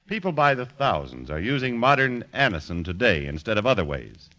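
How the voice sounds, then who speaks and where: A man's voice: on the low side, big pitch swings, fairly quick, sharp tone. A man reading a vintage 1940s radio advertisement as announcer, with polished, emphatic enunciation. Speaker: commercial announcer. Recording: restored old radio broadcast.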